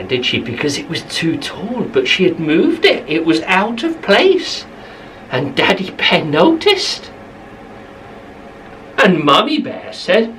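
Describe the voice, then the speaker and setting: A woman's voice: sits low, distant mic, slight background noise, poor audio. A woman telling a bedtime story to young children, expressively, repeating phrases for emphasis, slightly away from the mic.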